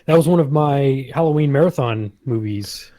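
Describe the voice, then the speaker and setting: Mellow, laid-back male co-host. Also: mid-range voice, normal speed, dry recording. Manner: laid-back, short replies. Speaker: podcast co-host. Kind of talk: casual podcast chat.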